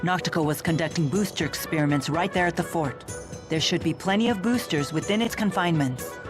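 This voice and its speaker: A woman acts a dignified, self-assured young warrior from a game story. Her low voice is composed and direct, with formal phrasing. Music is clearly heard behind her. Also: fairly quick, lightly acted. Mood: firm, composed. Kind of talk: English game dub acting. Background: background music.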